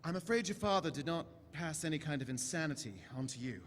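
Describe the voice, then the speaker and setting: Man playing an animated, eccentric time-traveling doctor, echoey hall. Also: a little high, fast talker, natural voice. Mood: inquisitive. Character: eccentric, brisk, inquisitive male alien time-traveler doctor. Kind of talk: audio-drama style stage acting. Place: large convention hall stage. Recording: echoey hall stage recording with noise.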